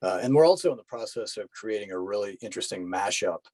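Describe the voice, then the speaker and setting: A man's voice: lowish voice, animated, fast talker, a little mellow, no echo. A man chatting casually as a podcast guest from the film world, with informal, laid-back phrasing. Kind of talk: casual interview chat.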